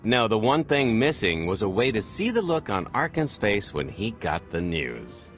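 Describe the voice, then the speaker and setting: Cocky, animated rogue space smuggler. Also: male voice, on the low side, moderate pace, faint music behind. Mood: breezy, amused. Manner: breezy, sardonic, charming swagger. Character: cocky, wisecracking roguish male space smuggler. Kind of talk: video game cutscene acting.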